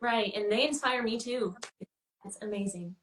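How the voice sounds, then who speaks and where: A woman's voice: a little high, moderate pace, a bit bright. A woman being interviewed as a guest on a livestream call, chatting casually and informally, thinking aloud as she goes. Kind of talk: casual chat. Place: indoor room on a livestream call. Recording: roomy, echoing livestream call.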